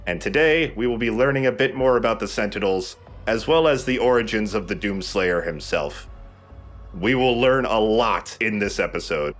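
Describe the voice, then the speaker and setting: Casting: male let's play host, lowish voice, conversational and joking, music underneath. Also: lively intonation, moderate pace, fairly bright tone. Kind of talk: casual game commentary.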